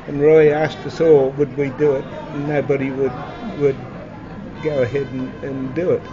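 A man's voice: medium pitch, flat delivery, moderate pace. Aussie guy talking plain and earnest, muffled, jazz behind him. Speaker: club volunteer. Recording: lo-fi with music behind.

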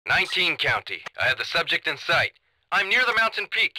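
Tense, excitable pursuit cop. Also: male voice, a little high, a little fast, heavily acted. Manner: clipped, tense. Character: pressed, excitable male pursuit officer. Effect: police radio. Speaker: police officer. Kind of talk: video game radio chatter. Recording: noisy police radio, clicks.

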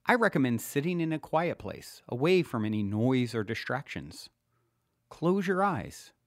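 Expressive man narrating a self-help audiobook. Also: medium pitch, no room sound, clean studio sound. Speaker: podcast host reading his own self-help book. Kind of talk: scripted audiobook narration, guided instruction.

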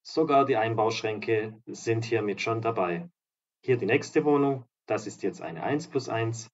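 A slightly hoarse man giving an upbeat, promotional walkthrough of apartments as a real estate agent and vlogger. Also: on the low side, measured pace, dull audio. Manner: upbeat, promotional, walks through rooms while talking. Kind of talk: property tour narration. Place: apartment complex in a seaside town, indoors and outdoors.

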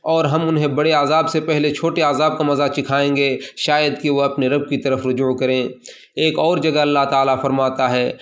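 A man reading a translated Friday sermon aloud in a formal, steady recitation, addressing the listeners as servants of God. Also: on the high side.

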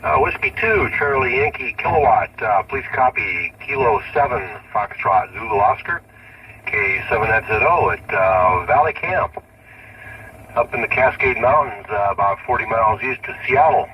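A man's voice: mid-range voice, animated, normal speed, dull audio. A man chatting casually as an amateur radio operator, heard over a radio link through a car speaker. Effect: radio link played through car speaker. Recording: distant sharp radio audio, faint car noise.

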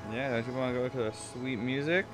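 A man's voice: medium pitch, steady tone, fairly quick, over music. A man giving casual, playful video game commentary with jokey, theatrical asides. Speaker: gamer, let's play commentator. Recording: clear game noise behind voice. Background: video game sounds.

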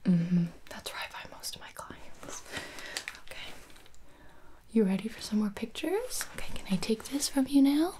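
A woman doing an ASMR roleplay as a baby photographer, whispering softly and cooing as if to a baby. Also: on the high side, big pitch swings, normal speed.

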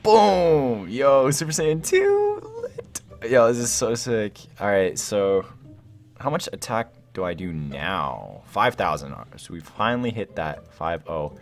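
A young man with a fairly low voice that jumps around in pitch as he plays. He talks in a casual, slangy way, thinking out loud and exclaiming over the game. Music plays behind him. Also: sing-song, slow. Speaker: gaming video host. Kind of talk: excited casual gameplay commentary.

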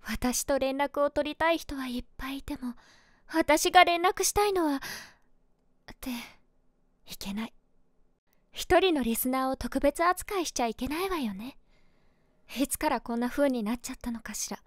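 A woman's voice: high-pitched, normal speed, long pauses, no room sound, studio quality, close to an everyday voice. An actress playing a composed, ladylike streamer in her late twenties, privately smitten, musing to herself in a feminine way in a voice drama. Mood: longing, self-reproachful. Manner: feminine, softly self-questioning musing. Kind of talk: Japanese situation voice drama monologue.

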